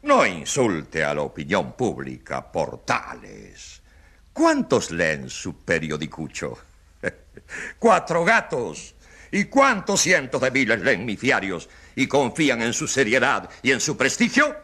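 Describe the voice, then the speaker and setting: A pompous populist politician holds forth in a radio courtroom drama. His voice is husky and forceful, swinging widely in pitch, and his delivery is theatrical, scornful and mocking. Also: wildly swooping pitch, slow, heavily acted. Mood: mocking, boastful.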